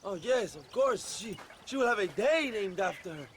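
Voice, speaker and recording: An actor playing a pompous, self-absorbed Mexican revolutionary leader in a game, with a Mexican accent and a grandiose, flippant manner. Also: male voice, sits high, animated, normal speed, a little hiss or hum. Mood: breezy, self-satisfied. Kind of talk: video game cutscene acting.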